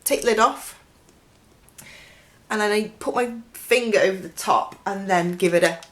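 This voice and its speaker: A young woman with a croaky voice from a chest infection, chatting through a makeup tutorial in a gushing way, full of "like" and "um", close to the camera in a bedroom. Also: mid-range voice, normal speed, fairly bright tone, a little hiss or hum. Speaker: beauty vlogger. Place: bedroom with a dressing table, indoors.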